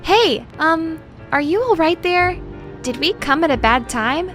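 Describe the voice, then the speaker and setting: A shy, bookish young woman of mild temper, voiced by a woman. Her voice is high, her wording polite and measured, as in an audio drama's dialogue and narration. Music runs behind it. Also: lively intonation, fairly bright tone, exaggerated voice. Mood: mild, hesitant. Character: reserved, bookish young woman, low on energy.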